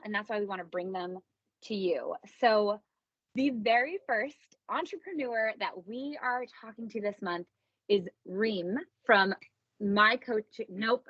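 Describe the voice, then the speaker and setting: A woman's voice: on the high side, a bit bright, dry recording, dull audio. A woman hosting a casual podcast interview, speaking in an informal, emphatic and gushing way. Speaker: podcast host.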